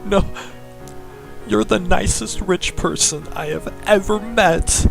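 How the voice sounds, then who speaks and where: A melodramatic anime schoolboy, acted out by a man reading a story. He is timid, plaintive and full of heartbreak and gratitude. Loud music runs underneath. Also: sits high, takes it slowly, vocal fry, voice pushed up, exaggerated voice. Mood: tearful gratitude.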